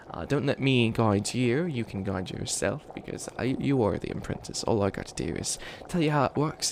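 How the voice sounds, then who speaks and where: A man's voice: lowish voice, vocal fry, background noise. A man voicing an ancient bunny witch, a failed villain who is flustered and kindly, rambling and stammering through a comedic ASMR roleplay. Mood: rambling, encouraging. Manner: rambling, stammering, apologetic, trails off. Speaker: mentor to a new apprentice.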